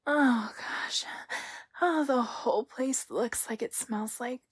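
A woman playing a seductive, playful spirit in an intimate adult audio roleplay, breathy and often whispering, with a teasing, lingering delivery. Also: on the high side, slow, full character voice. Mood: dreamy, envious. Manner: sultry, teasing, lingering hesitations.

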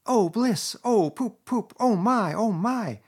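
Guy doing a giddy posh toad, way up high and loud, gushing and swooning, kinda husky. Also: lively intonation, takes it slowly, exaggerated voice. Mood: rapturous. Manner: rapturous, gushing, swooping high. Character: boastful dreamy male toad, gentleman.

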